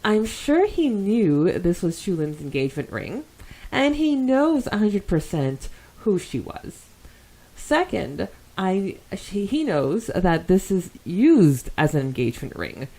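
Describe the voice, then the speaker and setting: A woman co-hosting a podcast, chatting casually and enthusiastically as she reads out and reacts to listener comments, quick to giggle. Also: mid-range voice, big pitch swings, dark tone. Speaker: podcast co-host. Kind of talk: casual podcast talk, reading and discussing fan comments.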